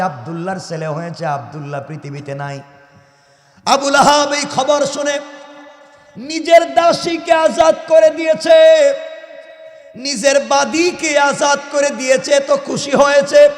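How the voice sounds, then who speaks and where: A man delivers an impassioned sermon through a heavily echoing sound system. His voice sits high, swelling from lower phrases into loud exhortations as he tells religious stories and puts rhetorical questions to his listeners. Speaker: Islamic preacher. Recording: echoey hall PA, long reverb.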